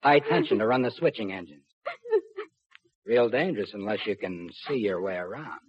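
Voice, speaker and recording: An actor playing a cruel, cocky kidnapper in a 1950s radio drama, with a rough voice and taunting tough-guy slang. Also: lowish voice, expressive, moderate pace, slight background noise, natural voice. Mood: gloating, taunting. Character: sneering, cruel kidnapper, cocky small-time crook turned big. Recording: muffled 1950s radio recording.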